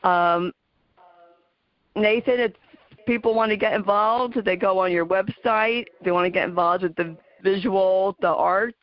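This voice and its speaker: A woman with a New Jersey accent hosting a talk radio interview, earnest and halting with many fillers. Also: mid-range voice, no room sound, dull audio. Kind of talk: casual interview chat.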